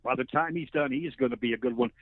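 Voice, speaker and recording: A man's voice: mid-range voice, rapid-fire, no echo. Over a thin, dry phone line comes an American man talking sports in an interview. He sounds like a folksy play-by-play announcer, chatty and fond of telling stories at length. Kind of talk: conversational sports commentary interview.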